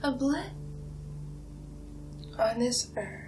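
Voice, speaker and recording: A woman playing a seductive, ancient vampire villain in a whispered ASMR roleplay, breathy and drawn-out, savoring and condescending. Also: on the high side, expressive, music in the background. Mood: haughty, hushed. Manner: slow, hushed, drawn-out, savoring delivery.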